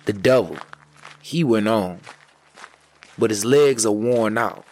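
A man narrating an old folk tale as a storyteller, in a measured way with dramatic pauses. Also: lowish voice, a little mellow. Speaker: storyteller, podcast host.

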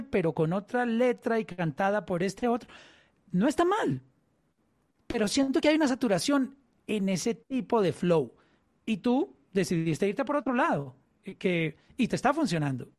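A woman's voice: mid-range voice, a little mellow, no echo. A woman with a Colombian Spanish accent hosting an interview, building long thoughtful questions for her guest. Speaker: podcast host.

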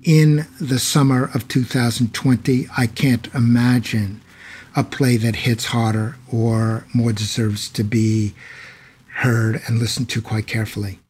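A man from New York with a husky voice, a scholar giving commentary in an interview. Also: mid-range voice, level tone, measured pace, darkish tone, soft background music. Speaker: Shakespeare scholar, university teacher.

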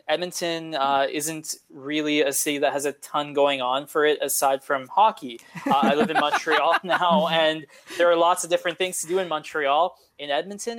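A man from Canada, a journalist guest on a podcast, speaking in a measured, reflective way with some hesitations. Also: a little high, fairly bright tone. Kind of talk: podcast interview.

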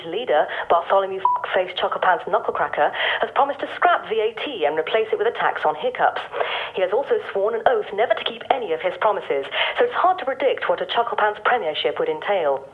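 A woman playing a serious radio news reader, measured, delivering a scripted bulletin through a radio filter. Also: mid-range voice, expressive. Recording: thin, phone-like radio broadcast sound.

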